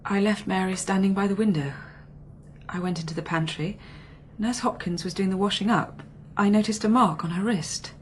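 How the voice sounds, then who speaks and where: A woman's voice: medium pitch, normal speed, slight background noise, close to an everyday voice. Actress as guarded, haunted young woman. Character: tense, guarded young upper-class woman accused of murder. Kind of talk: radio drama acting.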